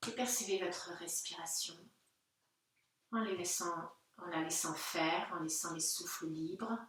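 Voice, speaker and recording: A woman teaching yoga, guiding a relaxation session gently and unhurriedly in short phrases with pauses. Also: lowish voice, slight background noise. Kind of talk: guided Yoga Nidra relaxation instruction. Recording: very echoey room, distant microphone.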